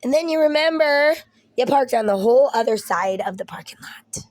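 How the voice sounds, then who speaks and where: A woman's voice: sits high, animated, moderate pace. A young woman, ill and losing her voice, chatting casually to camera at home, rambling and swearing with laughing asides. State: ill with Covid. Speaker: vlogger.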